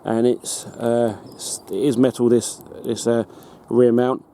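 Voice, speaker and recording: A British man giving a casual hands-on demonstration as a hobbyist, in a halting, matter-of-fact way. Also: medium pitch, level tone, dark tone. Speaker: hobbyist presenter. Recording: noticeable background noise.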